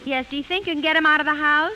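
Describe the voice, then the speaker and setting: A woman playing a brisk, organizing lady friend in a 1950s radio comedy. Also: high-pitched, fairly even intonation, lightly acted. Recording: hissy old radio recording.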